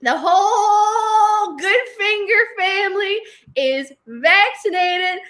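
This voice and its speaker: A woman's voice: very high-pitched, animated, slow, bright, forceful tone, heavily acted. A woman playing a tearful, gushing, churchgoing grandmother as a guest on a comedy show. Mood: weepy gushing.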